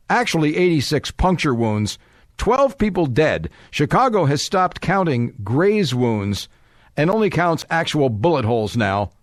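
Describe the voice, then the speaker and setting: A man's voice: fairly high pitch, no echo, clean studio sound. A man hosting a talk radio show, reading a letter aloud with emphatic, sardonic asides.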